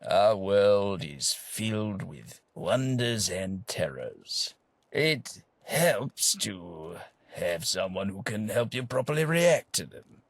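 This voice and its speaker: An actor playing a genteel, long-winded British gentleman adventurer in a video game, pompous and rambling, with old-fashioned politeness and a husky voice. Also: on the low side, big pitch swings, slow pace, no room sound, full character voice. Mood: genteel, self-assured. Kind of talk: theatrical video game companion dialogue.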